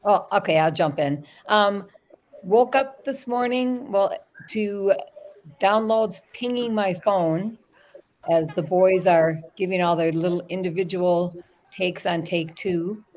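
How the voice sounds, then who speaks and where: A woman guest on a casual video call, talking excitedly in tumbling sentences. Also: mid-range voice, slow.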